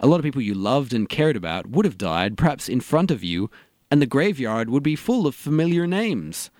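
A dry, close-sounding actor as a gloomy philosopher in a comedy audio drama. He muses in a deliberate, morbid way with a pitch that moves around a lot, and he can be bluntly tactless. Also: very animated, a little mellow, no echo, natural voice. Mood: reflective.

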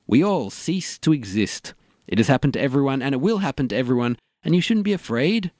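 A dry, close-sounding actor as a gloomy philosopher in a comedy audio drama. He muses in a deliberate, morbid way with a pitch that moves around a lot, and he can be bluntly tactless. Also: very animated, a little mellow, dry recording, natural voice. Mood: morbid, musing.

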